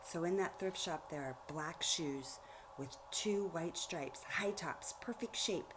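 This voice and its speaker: A woman reading a storybook aloud like a teacher, pausing to explain things directly to young listeners. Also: fairly low pitch, background noise. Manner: explanatory, addresses children directly.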